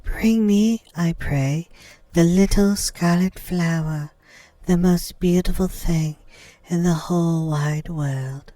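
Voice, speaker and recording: A man voicing a gentle, earnest young maiden, the youngest daughter in a fairy tale, pleading formally. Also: on the high side, slow pace, no echo, voice pushed up. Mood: earnest yearning. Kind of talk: storybook character voice.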